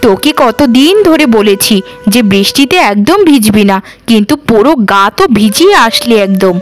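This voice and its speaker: A woman's voice: on the high side, expressive, normal speed, lightly acted. A woman voicing a poor, patient village mother in a cartoon, earnest, caring and plaintive. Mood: worried, caring. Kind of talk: Bengali cartoon dubbing.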